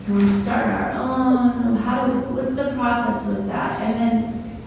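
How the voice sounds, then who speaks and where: A middle-aged woman in the audience asks a long, rambling question in a soft, hesitant voice. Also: fairly high pitch, fairly even intonation, a little slow, creaky. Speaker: audience member asking a question. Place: conference hall, off-mic. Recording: distant, very echoey hall with noise.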